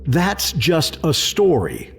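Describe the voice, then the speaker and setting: A man's voice: on the high side, wildly swooping pitch, slow pace, gravelly, a bit bright, slight background noise, exaggerated voice. A man playing an incredulous, sarcastic government official who scoffs emphatically in a sci-fi audio drama. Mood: dismissive. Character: exasperated, sceptical male government official, quick to scoff.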